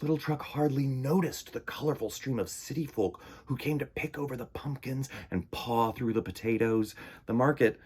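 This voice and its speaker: A man's voice: medium pitch, normal speed, a little mellow, breathy edge. A man reading a picture book aloud to children with dramatic emphasis and stretched words. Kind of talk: animated children's story reading.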